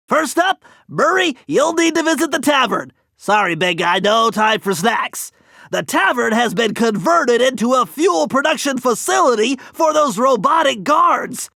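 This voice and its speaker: A man's voice: very high voice, creaky, pushed and bright, no room sound, full character voice. A man voicing an earnest, nerdy turtle tech genius in a video game, giving precise, urgent mission instructions. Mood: serious briefing. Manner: precise, technical, urgent briefing delivery.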